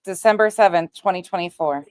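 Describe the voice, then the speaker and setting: A woman's voice: fairly low pitch, a little fast, a little mellow, clear, resonant tone, dry recording, clean studio sound. A woman commentating on played clips on an online talk show, casual, opinionated and emphatic.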